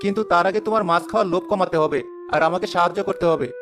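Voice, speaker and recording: Man voicing a high-pitched, quick-witted fisherman. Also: over music, lightly acted. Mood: persuading. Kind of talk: animated folk tale dialogue.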